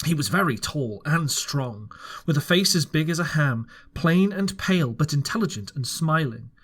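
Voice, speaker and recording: A man's voice: a little high, animated, moderate pace, a bit bright, slightly rough. A man narrating a classic novel as an audiobook, reading in the first person with earnest expression and occasional restarts. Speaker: audiobook reader.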